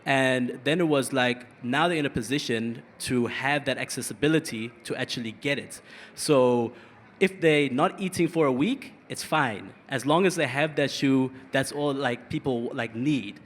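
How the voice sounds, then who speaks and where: A man born in South Africa and raised partly abroad, telling a story on a live panel with energetic, quick bursts. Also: medium pitch. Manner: energetic, fast bursts, many fillers. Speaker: panel guest. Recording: long hall reverb, faint room noise.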